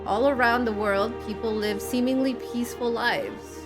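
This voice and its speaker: A woman voicing a brooding, disillusioned young man from a game, in grave, measured, formal phrasing. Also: fairly high pitch, steady tone, music in the background, lightly acted. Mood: grave, bitter. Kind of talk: reading game cutscene dialogue aloud.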